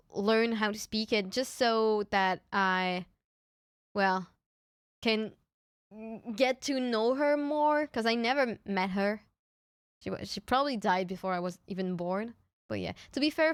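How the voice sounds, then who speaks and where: A woman's voice: a little high, smooth, no room sound, studio quality. A young woman from France, chatting casually with viewers on a live stream, often stammering and restarting her words with little giggles. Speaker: VTuber streamer.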